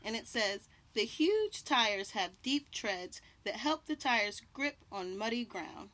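A young adult woman reading a picture book aloud to children, deliberately, pausing to point out and label the pictures. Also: on the high side, big pitch swings, a bit bright. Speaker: children's read-aloud host. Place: outdoors on a house porch by trees. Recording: birdsong and light outdoor background noise. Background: birds chirping.

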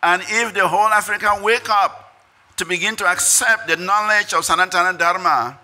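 High, bright-voiced older Ghanaian man. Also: moderate pace. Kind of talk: prepared speech read at a lectern. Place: conference hall stage. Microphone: podium microphones.

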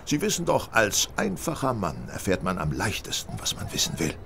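Sardonic know-it-all detective, audio drama, gravelly, very swoopy delivery. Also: male voice, lowish voice, huge pitch swings, normal speed, sharp tone, slight background noise, heavily acted. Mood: smug. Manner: sardonic, theatrical, self-assured. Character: shrewd, world-weary, superior male detective. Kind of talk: German audio drama acting.

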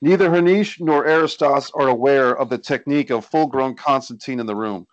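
A man's voice: medium pitch, fairly quick, dry recording, highs cut off. A man reading stage directions as scripted narration at a screenplay table read, recorded indoors over a video call.

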